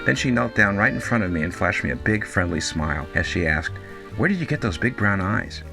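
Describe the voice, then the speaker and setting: A man's voice: lowish voice, animated, a little fast, vocal fry, music in the background, poor audio. A man giving a scripted, storytelling introduction as the producer of a show.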